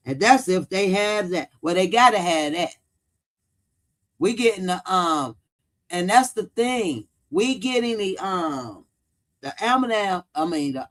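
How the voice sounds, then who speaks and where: A man hosts a casual livestream. He talks bluntly, cusses freely and hammers points by repeating them, often answering viewers as he goes. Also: high voice. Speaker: true-crime commentary livestream host.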